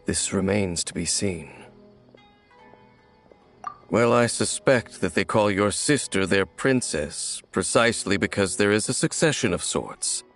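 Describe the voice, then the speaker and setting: Gravelly brooding game warrior, low and formal, world-weary, faint music behind. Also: male voice, lively intonation, measured pace, a bit bright. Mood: brooding, reflective. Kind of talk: video game voice acting.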